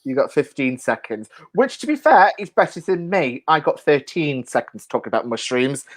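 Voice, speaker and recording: A woman hosting a panel game in a playful, excitable, teasing manner. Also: low voice, moderate pace. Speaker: host of a panel show.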